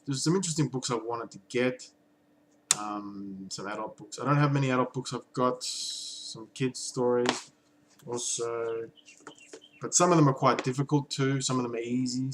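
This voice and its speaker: A man's voice: medium pitch, a bit bright, low-quality recording. Man, mid-range voice, casual hesitant vlog delivery, faint noise behind. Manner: thoughtful, hesitant, many ums and restarts. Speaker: language learning vlogger. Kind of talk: casual talk to camera.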